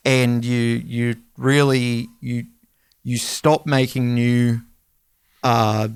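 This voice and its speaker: Smooth-voiced man in casual podcast chat. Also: level tone, extremely slow, no room sound, studio quality. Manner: rambling, conversational, drops to a low mumble at times. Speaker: podcast co-host.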